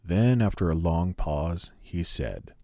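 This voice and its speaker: A man narrating a fairy tale as a storyteller, measured and solemn. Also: low voice, animated, mellow, no echo, studio quality.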